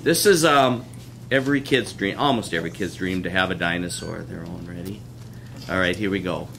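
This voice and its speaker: A male teacher speaks in a very deep voice, informally introducing items and giving short encouraging instructions. He is recorded at a bit of a distance, with faint room noise behind him. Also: a little fast, vocal fry, a bit bright. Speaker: second-grade teacher leading a class performance. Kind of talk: casual announcing and directing to a class. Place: school performance room.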